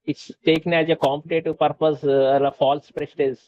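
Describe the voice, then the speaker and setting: Halting Telugu-speaking man, fairly high voice. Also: level tone. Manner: halting, many fillers and restarts. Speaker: conversation guest. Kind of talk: casual conversation. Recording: dull lo-fi sound.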